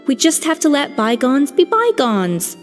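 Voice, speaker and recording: A calm former head chef from a video game, dignified and measured. Her voice sits high and moves with lively rises and falls. A long reverb follows it with faint music behind. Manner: composed, measured, firm.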